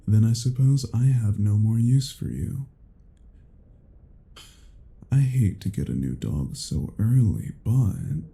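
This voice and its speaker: A man playing a cruel, haughty vampire in a dramatic roleplay, speaking in a deliberate, menacing and condescending way. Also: on the low side, gravelly, mellow, heavily acted. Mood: scornful, threatening. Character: cruel, haughty male vampire toying with his captive. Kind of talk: dramatic roleplay audio acting.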